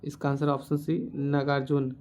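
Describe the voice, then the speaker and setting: A man's voice: mid-range voice, completely flat delivery, normal speed, a little mellow. A man teaching as a quiz host, reading out questions and answers in an instructional way and repeating the answers. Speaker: quiz teacher for exam preparation.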